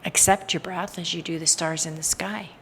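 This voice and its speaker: A woman leading a guided meditation in a soothing, hypnotic style, with soft trailing phrase endings. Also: lowish voice, fairly even intonation, normal speed, a little hiss or hum.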